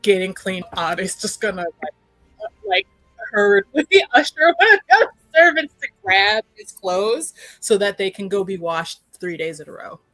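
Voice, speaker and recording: Animated woman with a bright voice. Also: medium pitch, moderate pace, no room sound, faint music behind, poor audio. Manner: animated, playful. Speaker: player in a tabletop roleplaying game. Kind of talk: casual improvised roleplay chat.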